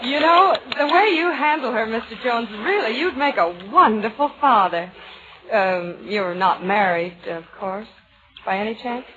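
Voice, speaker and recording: A woman's voice: fairly high pitch, lively intonation, measured pace. A woman playing a flustered wife bluffing as a baby-care expert in an old radio comedy, nervous, hesitant and stammering. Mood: fluttery, awkward. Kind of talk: 1940s radio comedy acting. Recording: muffled old radio recording, audience behind.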